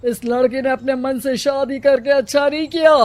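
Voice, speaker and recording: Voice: high voice, steady tone, a little mellow, no echo, lightly acted. A performer voicing a stern, domineering village headman in a story, with a commanding, scolding manner. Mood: stern, decreeing. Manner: commanding, scolding, slow deliberate delivery. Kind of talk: dramatized moral story acting.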